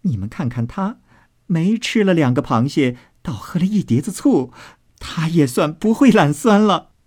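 A man's voice: a little high, lively intonation, normal speed, a little mellow, dry recording, studio quality, pitched above the performer's own voice. A man voicing a mischievous young maid in a storybook, gossipy and mocking. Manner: gossipy, taunting.

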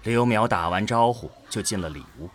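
A man narrating a scripted audio drama as an expressive storyteller. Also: fairly low pitch, a little fast, heavy background noise.

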